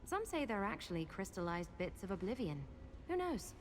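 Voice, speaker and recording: An actress playing a confident, practical adventuring guide in a fantasy video game, explaining things in a matter-of-fact way. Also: female voice, mid-range voice, sing-song, normal speed, a little hiss or hum, lightly acted. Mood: explaining, assured. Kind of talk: scripted video game dialogue.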